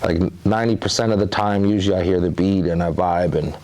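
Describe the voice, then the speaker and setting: A man from the Bahamas speaks as a rapper guest in an interview. His voice sits fairly low, and he talks in a relaxed, easygoing way, often adding "you know.". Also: quick pace. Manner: laid-back, loose, often says "you know". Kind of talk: casual interview talk.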